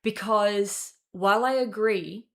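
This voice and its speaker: A young woman hosting a casual political commentary show, talking in a rambling way with swearing and quick self-corrections. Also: mid-range voice, fairly bright tone. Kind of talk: casual opinion commentary to camera.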